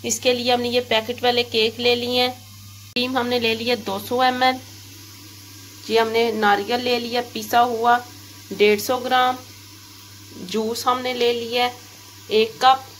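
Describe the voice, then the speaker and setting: A woman's voice: a little high, little pitch movement, measured pace, long pauses, fairly bright tone, low-quality recording. A woman with a Pakistani Urdu accent, hosting a home cooking channel and talking viewers through a recipe step by step. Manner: step-by-step, addresses viewers directly. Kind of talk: home cooking tutorial voice-over. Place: home kitchen. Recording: hissy home recording.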